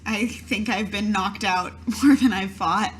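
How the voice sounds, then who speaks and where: A woman's voice: mid-range voice, measured pace, a little hiss or hum. A woman playing a tabletop roleplaying game, talking casually as player and character.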